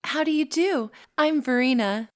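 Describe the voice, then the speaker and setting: A woman plays a polite, reserved sister in an audio drama. Her voice is high, and she speaks with composure and a gently chiding manner. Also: normal speed, lightly acted. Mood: courteous.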